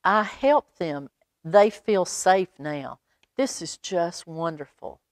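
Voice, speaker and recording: A woman voicing a gentle, earnest male dog who fusses protectively in a children's storybook, with expressive delivery. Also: on the low side, takes it slowly, no room sound, studio quality. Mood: tender, happy.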